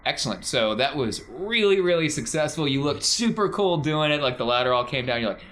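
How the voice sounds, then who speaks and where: A man's voice: fairly high pitch, expressive, fairly quick, fairly bright tone. A man narrating a tabletop game as game master in a conversational, encouraging way. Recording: clear background noise.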